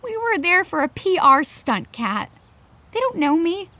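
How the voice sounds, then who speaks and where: A woman's voice: extremely high pitch, very animated, unhurried, sharp tone, full character voice. An actress playing an anxious, defensive teenage girl in a naturalistic audio drama, with a sarcastic, exasperated edge. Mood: angry, bitter.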